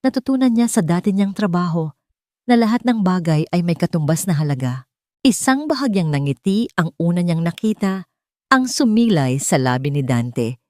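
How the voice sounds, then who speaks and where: Low-voiced woman narrating an audiobook. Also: expressive, normal speed, a little mellow, dry recording, clean studio sound. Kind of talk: scripted dramatic story narration.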